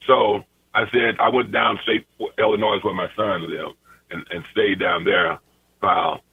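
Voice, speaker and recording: A hoarse man calling in to a radio show, speaking in a halting way and repeating himself. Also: fairly low pitch, fairly even intonation, no echo. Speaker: caller. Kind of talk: call-in chat. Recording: tinny phone line.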